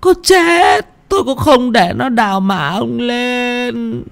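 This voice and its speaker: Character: old grieving widow. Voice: high, strained, wailing. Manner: tearful, desperate pleading. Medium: spoken ghost story. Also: male voice, fairly even intonation, drawn-out words, a bit bright, exaggerated voice. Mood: sobbing.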